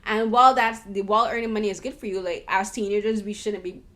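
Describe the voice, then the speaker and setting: A woman's voice: mid-range voice, steady tone, quick pace, a bit bright. Earnest woman hosting an interview. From Barbados. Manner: earnest, thoughtful, restarts often mid-sentence. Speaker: podcast host and interviewer. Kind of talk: casual conversational film interview.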